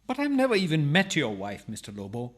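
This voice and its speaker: High-pitched, animated, cheeky burglar played by a man. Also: fairly quick. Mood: nervous, puzzled. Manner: protesting, polite, comically literal. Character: indignant, cheeky male burglar, married, comically literal. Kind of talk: farcical radio play acting.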